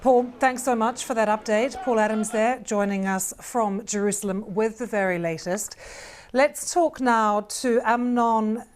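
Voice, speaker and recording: A woman presenting live television news with a brisk, clear newsreader delivery. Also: on the high side, steady tone.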